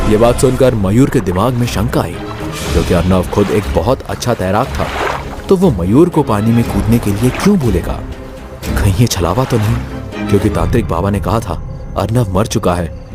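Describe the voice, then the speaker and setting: Low-voiced male horror story narrator. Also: animated, normal speed, over music.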